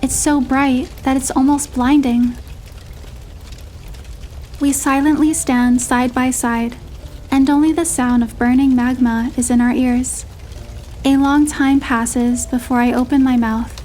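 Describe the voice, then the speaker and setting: A woman narrating a romantic first-person story in a measured, expressive storytelling manner. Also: sits high, a little hiss or hum. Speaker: narrator and heroine voice.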